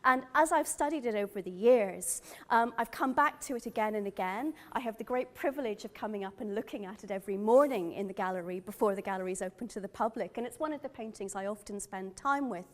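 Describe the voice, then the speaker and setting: A woman's voice: mid-range voice, quick pace, few pauses. A middle-aged woman from Northern Ireland with a Northern Irish accent, giving an earnest, enthusiastic gallery lecture as a museum curator.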